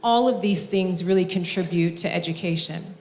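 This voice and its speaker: A young woman moderating a university panel discussion in a formal, measured way, with frequent ums. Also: fairly low pitch, a little mellow. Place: university conference hall.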